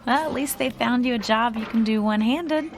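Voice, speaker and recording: A woman's voice: fairly high pitch, brisk, natural voice. An actress playing a playful young woman in a college theater production. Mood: teasing. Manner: teasing, playful. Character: lively young woman acting student.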